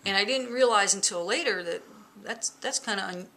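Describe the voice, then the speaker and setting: A woman in her forties, a bass player and songwriter, chatting casually in an interview at home, lively with lots of fillers. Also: moderate pace, a little hiss or hum. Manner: lively, chatty, laughs often, many fillers. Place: living room at home. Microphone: camera in front, close framing.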